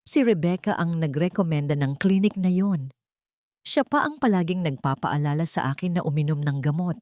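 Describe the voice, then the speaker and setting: A woman's voice: low voice, normal speed, darkish tone, dry recording, clean studio sound, close to an everyday voice. A woman voicing a wronged, earnest wife in an audio story. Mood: hurt, realizing. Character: wronged wife, earnest and wounded, seeking truth.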